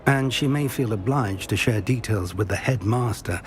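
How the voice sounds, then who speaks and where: A man's voice: fairly low pitch, close to an everyday voice. An actor playing an older male professor in a video game, a formal, kindly mentor with a British accent. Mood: instructive. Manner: measured, formal, kindly instructive.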